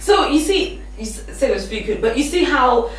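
A young woman hosting a casual talk show in a small studio, playful and quick to laugh. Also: high voice. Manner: playful, laughs often, animated questioning. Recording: echoey studio from far off, faint noise.

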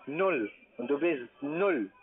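A man's voice: fairly high pitch, animated, slow. A man arguing heatedly on the phone in an insulting, combative, repetitive manner, as a call centre agent. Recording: tinny phone line with busy call-centre chatter. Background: crowd of voices.

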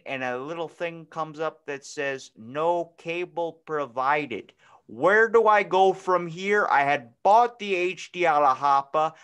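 A man's voice: a little high, bright, forceful tone, smooth. A man plays a folksy old dad in a comedy sketch. He is oblivious and chatty, rambling along in a raised voice. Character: folksy, clueless senile dad, Bears football fan. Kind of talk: improvised comedy phone-call skit.

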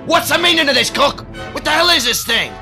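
An actor playing an excitable, blustery man in an audio drama. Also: very high voice, brisk, faint music behind, full character voice. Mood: outraged.

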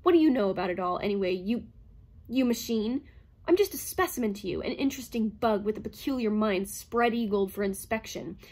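A woman's voice: mid-range voice, normal speed, slightly rough, close to an everyday voice. A woman reading a bitter lonely woman psychologist. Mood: angry outburst. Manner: bitter, tense, breathless. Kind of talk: audiobook character reading.

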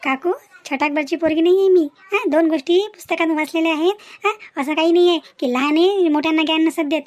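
A woman's voice: extremely high pitch, a little fast, dry recording. A woman playing an outspoken, lecturing young niece in a family cartoon. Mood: indignant. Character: outspoken young niece scolding dowry-seeking elders. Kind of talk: cartoon family drama dialogue.

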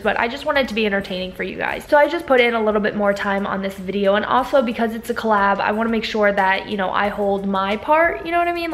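A young woman in her twenties vlogging casually to her camera at home, a little hoarse from a cold, chatty with lots of "like" and "you guys". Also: fairly high pitch, a bit bright. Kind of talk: casual vlog chat to camera. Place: living room at home, also in a car. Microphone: camera at arm's length.

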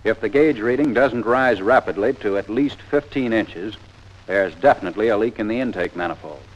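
A man's voice: fairly low pitch, fairly quick. An American man narrating a 1940s instructional film in a plain, step-by-step manner. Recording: dull, band-limited 1940s film soundtrack.

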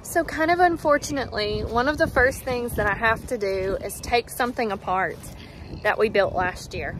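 A woman's voice: on the high side, moderate pace, noisy background. Chatty woman filming on her farm. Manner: chatty and upbeat, talks to her animals. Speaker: homestead vlogger. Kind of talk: casual vlog talking to camera. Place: outdoors on a farm and inside a barn. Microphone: handheld phone at arm's length.

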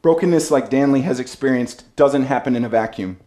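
Man, 30s, keynote speaker at a podium, earnest and measured, reads from notes. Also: medium pitch, mellow. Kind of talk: prepared speech read from notes. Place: banquet room with an audience.

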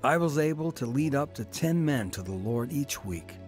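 A man's voice: medium pitch, expressive, moderate pace, a little mellow, soft background music, lightly acted. An actor playing a reformed former crook who tells his own story in a radio drama, talking plainly and reflectively. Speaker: narrator and main character. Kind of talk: radio drama acting and narration.

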